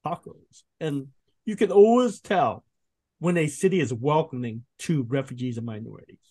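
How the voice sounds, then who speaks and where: A man in his fifties, born in Vietnam, chatting as a podcast guest, telling animated stories with frequent laughs and restarts. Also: a little high, normal speed. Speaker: guest, food writer. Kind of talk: casual podcast interview.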